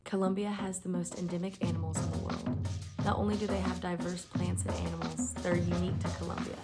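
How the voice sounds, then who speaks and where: Young woman reading facts over loud music. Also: lowish voice, level tone, quick pace. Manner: bright listing of facts, read from script. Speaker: segment presenter. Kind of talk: scripted fact narration. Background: loud music bed.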